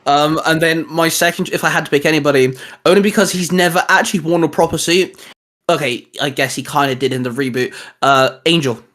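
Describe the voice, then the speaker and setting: A man's voice: a little high, steady tone, a little fast, a bit bright. A man hosting a casual, geeky panel chat, speaking informally and sometimes swearing. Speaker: host.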